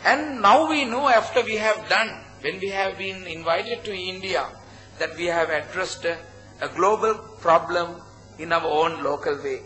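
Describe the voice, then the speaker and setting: A man with a Sri Lankan accent giving an earnest conference presentation in short, halting phrases. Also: high voice, pushed and bright, faint music behind, poor audio. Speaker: conference presenter, project founder. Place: conference hall at a hotel.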